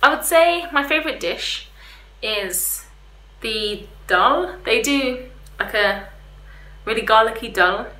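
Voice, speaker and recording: Young female vlogger, casual and a little hesitant, bright tone, recorded in an echoey room. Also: fairly high pitch, animated, slow pace. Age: young adult. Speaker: vlogger reviewing restaurants. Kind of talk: casual chat to camera. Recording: roomy echo, faint background hiss.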